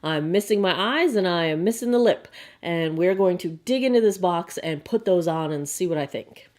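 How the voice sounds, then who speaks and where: Hoarse chatty woman vlogger. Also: lowish voice, expressive, darkish tone. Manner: chatty, bubbly, quick asides. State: hoarse, frog in throat. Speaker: beauty vlogger. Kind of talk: casual unboxing chat.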